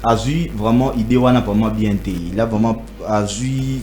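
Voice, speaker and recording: A young man with a Congolese accent, speaking haltingly in a debate and switching between French and Lingala. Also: lowish voice, fairly even intonation, darkish tone, a little hiss or hum. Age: young adult. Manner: halting, many restarts, mixes French with Lingala. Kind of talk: hesitant debate talk.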